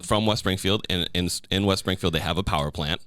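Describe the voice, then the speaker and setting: A man from Massachusetts chatting casually on a podcast, telling animated stories and repeating his phrases for emphasis. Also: deep voice, a little fast, no room sound, studio quality. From Massachusetts, USA.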